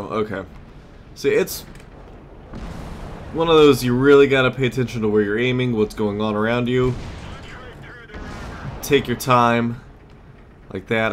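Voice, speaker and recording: A man giving casual gameplay commentary as a let's play host, muttering and exclaiming as he plays. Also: lowish voice. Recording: clear background noise, game ambience.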